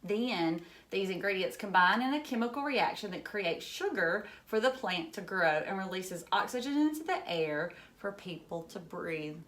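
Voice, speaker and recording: A woman's voice: medium pitch, lively intonation, normal speed, fairly bright tone. A woman teacher reading a children's book aloud, adding simple, encouraging explanations in short phrases and putting questions to young listeners.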